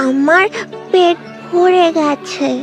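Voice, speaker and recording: A performer voicing a small, timid, sweet child in a cartoon, speaking in a halting, plaintive way. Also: very high voice, steady tone, full character voice. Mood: shy, sweet. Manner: slow, halting, plaintive speech. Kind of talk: cartoon voice acting. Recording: music behind the voice.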